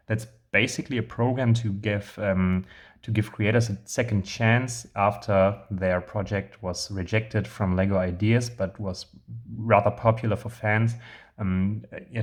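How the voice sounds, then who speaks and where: Hoarse man with a lowish voice. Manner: hesitant, many ums, enthusiastic. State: hoarse, recovering lost voice. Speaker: channel host reviewing building sets. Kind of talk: casual product review vlog.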